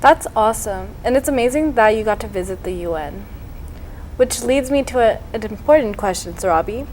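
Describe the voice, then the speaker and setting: A woman's voice: on the high side, expressive, a little fast, a little mellow, a little hiss or hum, rough recording. A woman hosting a local TV interview show, upbeat and full of praise for her guest. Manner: upbeat, complimentary. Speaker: TV show host.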